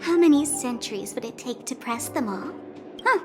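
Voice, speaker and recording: A young female video game character. Her voice is high, wry and curious, with clear music behind it. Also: expressive, moderate pace. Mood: wry, musing.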